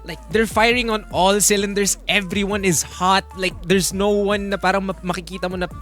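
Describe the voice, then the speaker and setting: A woman's voice: medium pitch, fairly even intonation, fairly quick, a bit bright. A woman with a Filipino accent co-hosting a live esports panel, enthusiastic and emphatic, switching between two languages. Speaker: esports broadcast co-host. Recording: music behind the voice.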